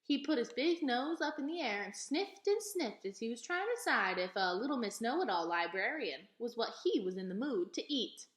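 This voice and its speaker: A woman's voice: a little high, very animated, moderate pace, few pauses. A woman voicing a proud, folksy elderly librarian in a storybook read-aloud, speaking with emphatic repetitions. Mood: dramatic. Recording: echoey room.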